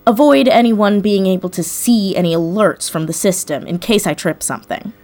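A woman's voice: mid-range voice, normal speed, a little mellow, close to an everyday voice. A woman playing a confident hacker in an audio drama, speaking casually with assured, wry delivery. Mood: confident, businesslike. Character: confident, wry female hacker on a heist crew.

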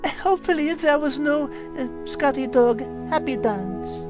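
A woman's voice: high-pitched, expressive, a little slow, music in the background. A woman voicing a refined, teasing French cat with a French accent in a comedic audio drama. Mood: polite. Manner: teasing, polite, French interjections. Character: sassy, exasperated, refined female French cat. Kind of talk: comedic radio-drama character banter.

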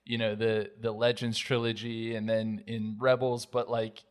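A man's voice: fairly low pitch, flat delivery, a little slow, smooth. A man speaking as a podcast host, chatting casually and putting questions to a guest. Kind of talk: casual chat.

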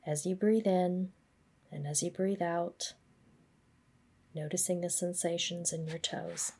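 A woman gently guiding a body scan meditation, speaking in a measured, instructional way. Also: lowish voice, fairly even intonation, breathy edge. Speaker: mindfulness practice guide.

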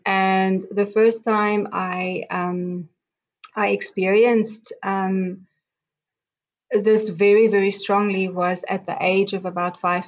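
A middle-aged woman from South Africa, a native Afrikaans speaker with a South African accent, slowly telling her life story as a guest, with many pauses and ums. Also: lowish voice. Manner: slow, halting, many ums and restarts. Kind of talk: reflective personal storytelling. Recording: dull lo-fi sound.

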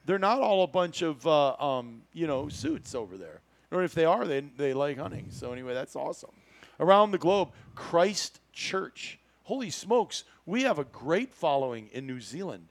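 A man's voice: on the high side, big pitch swings, normal speed. A middle-aged man with an American accent hosting a podcast in a casual, chatty, joking way with folksy exclamations. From Iowa, United States. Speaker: podcast host.

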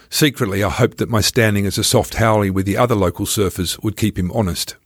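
A man's voice: on the low side, no room sound, clean studio sound. A man reading his own travel memoir aloud as scripted first-person narration.

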